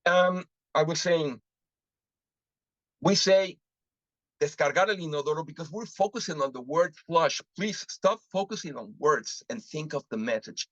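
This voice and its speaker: A man from Mexico, presenting a live webinar on interpreting and asking the audience lively rhetorical questions. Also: fairly high pitch, normal speed, no room sound. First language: Spanish. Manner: animated teaching, frequent rhetorical questions, switches into Spanish examples. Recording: muffled lo-fi dry webinar audio.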